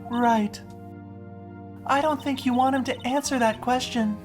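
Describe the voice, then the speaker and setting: A calm woman lawyer as a man puts her on, pitched high. She advises in a composed, instructive way, like a video game tutorial guide, over music. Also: unhurried. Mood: calm, advising. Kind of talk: video game fan dub.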